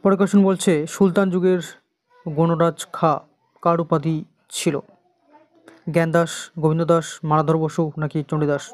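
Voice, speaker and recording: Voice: medium pitch, level tone, moderate pace, long pauses, dry recording. An exam coaching teacher with an Indian Bengali accent, reading out quiz questions and repeating the answers in an instructional way.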